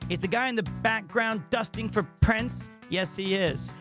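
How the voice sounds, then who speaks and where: A man's voice: high-pitched, moderate pace. A man chatting casually as a game streamer during a livestream, commenting on play. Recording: loud game music behind.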